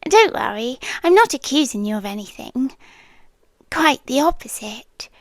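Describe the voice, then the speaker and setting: Casting: actress as doting, possessive British night nurse for ASMR roleplay, high hushed voice, slow and secretive, close-miked. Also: female voice, no echo, exaggerated voice. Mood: tender, probing. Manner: hushed, intimate, slipping into whispers. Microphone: close microphone in a dry room.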